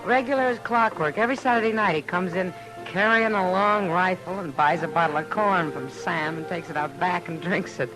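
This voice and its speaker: A woman's voice: lowish voice, moderate pace, few pauses, lightly acted, low-quality recording. An actress playing an easygoing, wry saloon woman with an American accent in a 1950s Western radio drama. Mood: wry, friendly. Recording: old radio drama with music.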